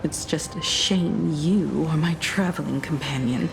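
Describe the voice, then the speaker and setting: An actress playing a solemn, defiant woman in a video game, speaking in a measured, grave, accusing way. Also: sits low, creaky, in a large hall, poor audio. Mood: cold, accusing.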